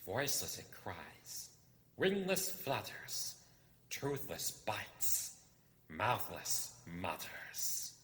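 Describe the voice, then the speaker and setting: A man's voice: fairly low pitch, big pitch swings, fairly bright tone, exaggerated voice. A man voicing a wretched, sneaky cave creature in a storybook reading, hissing and spluttering as he draws out his words. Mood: eerie riddling. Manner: slow, drawn-out muttering with sudden shrieks. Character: wretched, sneaky, hungry cave-dwelling creature, lonely and nasty. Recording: cavernous hall reverb.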